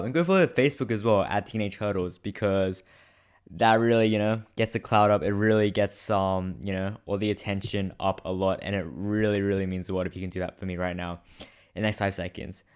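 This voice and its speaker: Young host, late teens, low smooth voice, upbeat listener plug. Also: male voice. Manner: upbeat, pleading, repetitive. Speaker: podcast host. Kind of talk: direct-to-listener promo.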